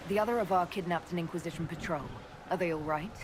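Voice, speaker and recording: Woman acting earnest elf heroine, game lead, calm, direct, slightly low pitch, faint noise. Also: fast, close to an everyday voice. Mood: composed, resolute. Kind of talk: video game character acting.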